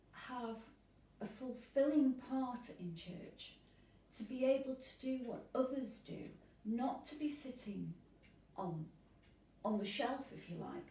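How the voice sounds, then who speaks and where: A woman priest who lives with hearing loss, speaking earnestly and in measured phrases in an interview. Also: on the high side, dark tone, reverberant, distant mic, rough recording.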